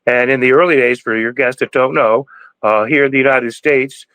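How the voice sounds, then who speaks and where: A man's voice: medium pitch, steady tone, moderate pace, pushed and bright, dry recording, poor audio. A man in his sixties, a podcast guest, talking in a rambling, preachy way with many restarts. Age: 60s. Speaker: podcast guest, cannabis breeder. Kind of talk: casual interview talk.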